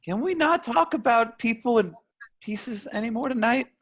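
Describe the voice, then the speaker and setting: A man playing a sullen, sardonic young college stoner with a creaky voice in an amateur table read. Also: extremely high pitch, animated, moderate pace, a bit bright, heavily acted. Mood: sarcastic.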